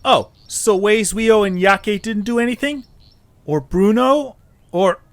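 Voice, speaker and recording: A man's voice: high-pitched, slow pace, voice pushed up. A man voicing an indignant, earnest young male creature in an amateur audio drama, with strident, exasperated delivery.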